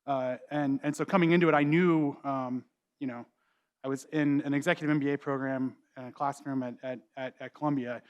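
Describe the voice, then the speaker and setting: A man's voice: medium pitch, fairly even intonation, a little fast, clean tone, dull audio. A man who leads a small company, speaking reflectively on a panel with many restarts. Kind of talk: panel discussion answer.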